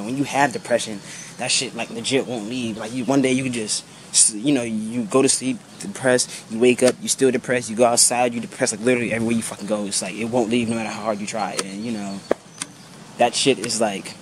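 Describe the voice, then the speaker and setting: A man's voice: medium pitch, a little hiss or hum. A young man in his twenties with a sick, slightly off voice, ranting casually to his vlog camera with lots of "you know" and "bro" and free swearing, filmed outdoors with a handheld camera. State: sick, voice a bit off. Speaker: vlogger. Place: outdoors under trees by a brick building. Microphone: handheld camera held low at arm's length.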